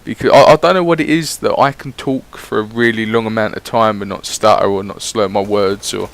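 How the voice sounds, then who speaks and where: A man's voice: on the low side, steady tone, brisk, creaky. A man giving casual, rambling voice-over commentary on his gameplay, swearing freely as he chats. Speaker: gaming YouTuber.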